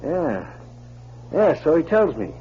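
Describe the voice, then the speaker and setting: An actor playing a scheming, crooked prospector with a brisk, shifty delivery in 1940s radio style. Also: male voice, fairly high pitch, very animated. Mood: nervous, evasive. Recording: muffled old radio with hiss.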